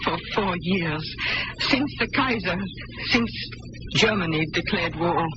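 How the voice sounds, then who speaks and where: A woman's voice: lowish voice, expressive, takes it slowly. A woman playing a brave, kind young German farm woman who shelters fugitives, in an old-time radio drama, speaking earnestly. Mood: earnest, calm. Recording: dull, very noisy radio drama recording.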